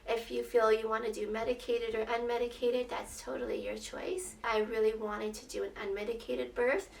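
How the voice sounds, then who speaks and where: Young woman, casual vlog storyteller, faint rasp, chatty and expressive, roomy echoing sound with a distant microphone. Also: a little high. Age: young adult. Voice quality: slightly raspy. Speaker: vlogger telling her birth story. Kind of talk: casual personal storytelling to camera.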